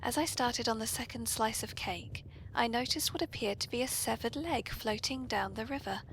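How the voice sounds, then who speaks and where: High-voiced genteel British woman, noisy recording. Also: moderate pace. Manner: polite, genteel, playfully arch. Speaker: podcast host. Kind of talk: genteel comic tea-party hosting. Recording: poor, noisy amateur podcast recording.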